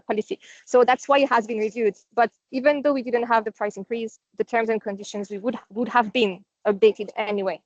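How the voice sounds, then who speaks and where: Higher-voiced woman on a dull-sounding call. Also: no echo. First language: not English. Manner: explanatory, conversational, non-native phrasing. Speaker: presenter leading a company conference call. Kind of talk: informal business presentation and Q&A. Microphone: online conference call. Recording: dull, dry online call audio.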